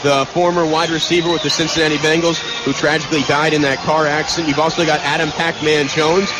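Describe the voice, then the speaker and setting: A man doing live play-by-play basketball commentary for radio, with a clipped delivery. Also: a little high. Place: live from a college basketball arena. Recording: noisy arena radio broadcast.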